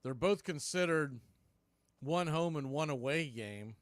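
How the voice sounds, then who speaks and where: Higher-pitched man calling baseball, close dry sound. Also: animated, measured pace, no room sound. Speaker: baseball play-by-play announcer. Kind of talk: live sports commentary.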